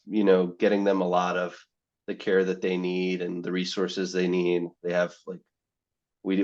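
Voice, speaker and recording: A man's voice: low voice, darkish tone, lo-fi sound. A young man, a medical student, answering interview questions in a rambling way, full of "you know" and restarts. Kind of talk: casual podcast interview.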